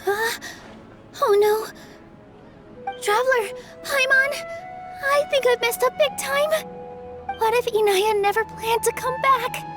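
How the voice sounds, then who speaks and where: Very high-pitched young female dancer, soft, sincere and earnest, anime game style, music behind. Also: slow, long pauses. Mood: worried. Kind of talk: English anime game dub acting.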